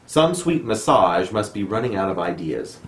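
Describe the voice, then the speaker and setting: A man's voice: lowish voice, big pitch swings, moderate pace. A man reading poetry aloud as a poet at a live home reading. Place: room in a home. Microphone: camera microphone, no dedicated mic. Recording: roomy echo, faint noise, amateur video.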